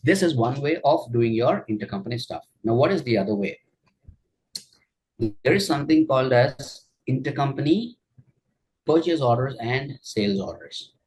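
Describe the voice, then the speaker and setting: A man teaching a software tutorial during a screen share, explaining each step with frequent fillers and check-ins. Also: medium pitch, lively intonation, moderate pace, husky, rough recording. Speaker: software trainer.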